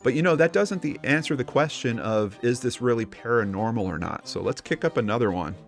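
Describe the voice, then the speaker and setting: A man's voice: mid-range voice, expressive, fairly quick, soft background music. A man co-hosting a casual discussion show, chatting and telling stories in an informal, skeptical way. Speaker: podcast co-host.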